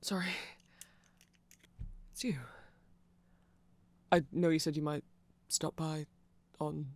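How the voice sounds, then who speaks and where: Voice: mid-range voice, little pitch movement, slow, a little mellow, no room sound. A performer playing a nerdy, polite vampire shopkeeper in an ASMR roleplay, hesitant and stammering, rambling apologetically in casual chat. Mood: flustered, welcoming.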